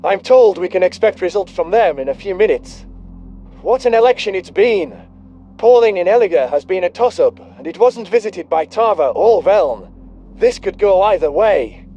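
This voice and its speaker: A man's voice: high voice, animated, moderate pace, dark tone, pitched below the performer's own voice. A man voicing a polished alien television news anchor in a science fiction audio story. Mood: brisk, excited. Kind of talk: broadcast news announcing. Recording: dull broadcast sound, music bed.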